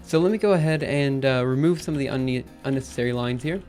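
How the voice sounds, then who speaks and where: A man live-streaming his coding session, thinking aloud in an upbeat, chatty way while typing, his voice a little raspy. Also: mid-range voice, fast, a little mellow, soft background music. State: slightly raspy after a drink. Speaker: programming livestreamer. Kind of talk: live coding stream, casual talk-through.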